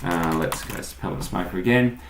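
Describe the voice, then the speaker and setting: A man's voice: low-pitched, brisk, a little hiss or hum. An Australian man hosting an informal online tutorial, explaining things step by step in an echoey room. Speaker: online marketing tutorial host. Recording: echoey, roomy sound.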